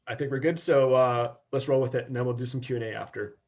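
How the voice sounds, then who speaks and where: Male campaigner, video-call webinar talk, casual and filler-heavy, average pitch. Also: fairly even intonation, fast talker, darkish tone. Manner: loose, filler-heavy, conversational explaining. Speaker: climate campaigner presenting. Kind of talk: webinar slide presentation.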